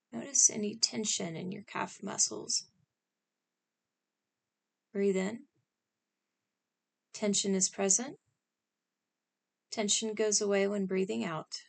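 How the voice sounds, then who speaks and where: A woman leading a scripted guided meditation in a calm, instructional manner, speaking in short, spaced phrases. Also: medium pitch, gravelly.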